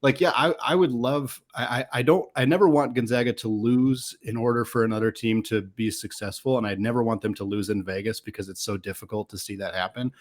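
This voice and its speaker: A man's voice: mid-range voice, steady tone, brisk, hardly any pauses. A man with a mid-range voice talks sports as a podcast host. His delivery is casual and analytical. He strings thoughts into long runs, adding qualifiers as he goes.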